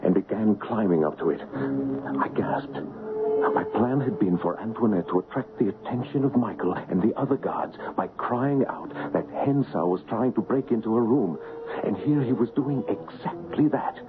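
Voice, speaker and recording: A man's voice: on the low side, lively intonation, moderate pace, natural voice. A man playing a brave, resolute swordsman hero who tells his adventure in an earnest, dramatic radio drama style, on an old narrow-band recording. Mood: suspenseful narration. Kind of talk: radio drama acting with first-person narration.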